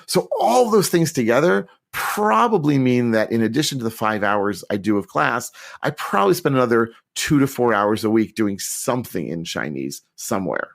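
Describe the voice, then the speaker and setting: A middle-aged man answering interview questions with chatty enthusiasm and quick restarts. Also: mid-range voice, very animated, vocal fry. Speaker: podcast guest.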